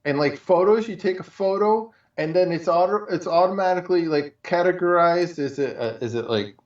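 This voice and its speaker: A man with a fairly high voice, heard a little away from the mic in a very echoey space. The sound is slightly dull. He chats casually as a co-host, wandering from one example to the next. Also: normal speed. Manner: rambling, colloquial, piles up examples. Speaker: show co-host. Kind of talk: casual chat. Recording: muffled, echoey, distant from mic.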